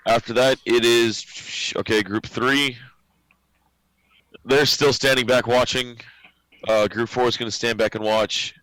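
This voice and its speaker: A man acting as game master in a tabletop role-playing game, relaxed and joking, laughing often as he calls out turns and damage. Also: mid-range voice, unhurried, no room sound, low-quality recording.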